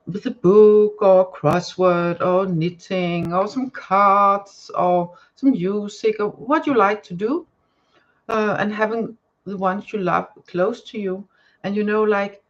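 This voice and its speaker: Slow, halting Danish-accented woman. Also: medium pitch, highs cut off. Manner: halting, often searching for words. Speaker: tarot card reader. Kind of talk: live-stream card reading, chatting to viewers.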